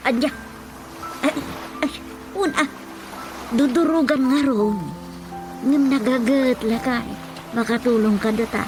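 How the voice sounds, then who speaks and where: A woman's voice: fairly high pitch, a little slow, pauses often, over music, natural voice. A woman with an Ilocano accent acting a practical countrywoman in a radio comedy drama. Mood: hopeful.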